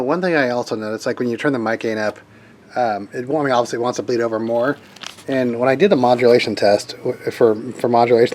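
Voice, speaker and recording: A man's voice: medium pitch, darkish tone. A man giving a casual hands-on tech demo as a hobbyist, talking informally and rambling as he thinks aloud. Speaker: hobbyist radio operator demonstrating equipment.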